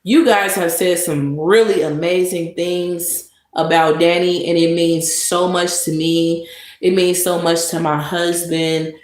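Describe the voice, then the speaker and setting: A woman's voice: lowish voice, steady tone, darkish tone. Sarcastic woman in an echoey room. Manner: sarcastic, mock praise, drawn-out emphasis. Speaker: vlogger. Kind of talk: sarcastic monologue to camera.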